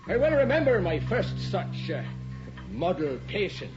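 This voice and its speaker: A macabre anatomy lecturer, theatrical and pleased with his grim humor. His voice runs high and swoops widely, and he takes his time. The sound is dull, with music behind. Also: big pitch swings, a little slow. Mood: gleefully morbid. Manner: theatrical, relishing grim jokes. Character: macabre male anatomy professor, gleefully morbid. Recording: dull old radio play with music.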